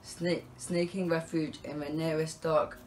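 Pantomime narrator, rhymed script, halting read with stumbles and repeated words, home recording. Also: mid-range voice, flat delivery, a little hiss or hum, poor audio.

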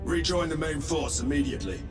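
An actor playing a stern, battle-hardened space marine in a video game, firm, formal and authoritative. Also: male voice, a little high, lively intonation, brisk. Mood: stern, threatening. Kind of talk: dramatic video game acting. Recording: loud cinematic music and engine noise.

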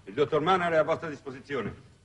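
An actor playing an obedient spaceship captain in a 1960s television drama, formal, crisp and dutiful. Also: male voice, fairly high pitch, fast, lightly acted. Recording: distant, noisy old television soundtrack.

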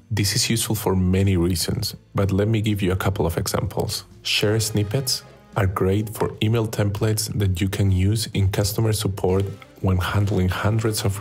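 Man, software engineer, low voice, calm, explanatory, faint music. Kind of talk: scripted product tip.

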